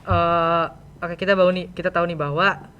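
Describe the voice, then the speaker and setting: A man's voice: high-pitched, little pitch movement, moderate pace, sharp tone, lo-fi sound, slight background noise. A teenage male student with a Jakarta Indonesian accent, hosting a casual podcast interview in a chatty, colloquial way.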